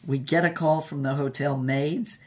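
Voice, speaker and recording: A woman's voice: deep voice, fairly even intonation, moderate pace, a little mellow, natural voice. An actress playing a defiant, tender older woman artist, telling stories in an emphatic, theatrical way for an audio drama. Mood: mischievous pride. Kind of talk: audio drama acting, storytelling.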